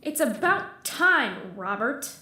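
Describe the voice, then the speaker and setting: A woman playing a feisty, combative spaceship crew member in an audio drama, sharp and indignant in manner. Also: sits high, big pitch swings, slow pace, echoey room, heavily acted. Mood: terse.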